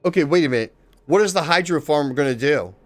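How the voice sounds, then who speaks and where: A man's voice: on the high side, fast talker. A man live-streaming a game, chatting casually with viewers and swearing freely as he plays. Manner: excitable, swears casually, talks to chat.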